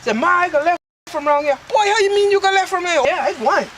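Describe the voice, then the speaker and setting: A very high-voiced woman with a Bajan accent tells a folk tale in lilting creole and acts out each voice in it. She comes from an old clip played back from a distance, with clear outdoor noise and passing traffic behind her. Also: animated, fast, a bit bright. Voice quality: lilting creole twang, voices quoted characters. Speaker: storyteller.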